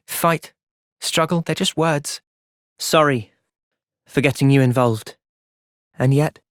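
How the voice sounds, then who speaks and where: A man playing an earnest, gentle, resolute young soldier in video game cutscenes. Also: fairly high pitch, dry recording, studio quality, lightly acted. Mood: thoughtful. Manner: earnest, thoughtful. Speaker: voice actor.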